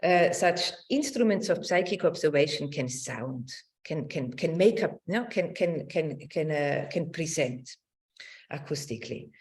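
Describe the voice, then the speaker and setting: A woman's voice: low-pitched, animated, darkish tone. A middle-aged woman giving an academic lecture, thoughtful in phrasing and partly reading from notes. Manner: halting, searching delivery with repeated words and tag "no?".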